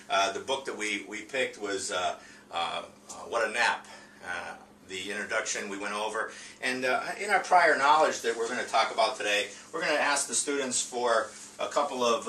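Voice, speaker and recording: A man presenting an informal reading lesson as a teacher, speaking in a hesitant, halting way with frequent filler pauses. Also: on the low side, pushed and bright. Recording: roomy, distant mic with faint noise.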